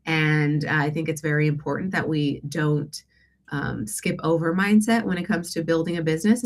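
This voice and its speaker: A woman with a low voice speaks through a congested, stuffy nose. She hosts a casual coaching session as a life and business coach. Her delivery is conversational and a little hesitant, often checking in with the people listening. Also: fairly bright tone. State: congested from allergies. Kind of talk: casual live Q&A coaching talk.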